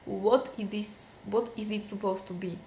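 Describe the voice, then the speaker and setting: A woman's voice: mid-range voice, steady tone, unhurried, warm tone, reverberant, slight background noise, low-quality recording. A young woman from Slovakia with a Slovak accent, venting casually to camera in a vlog, with many fillers. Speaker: vlogger.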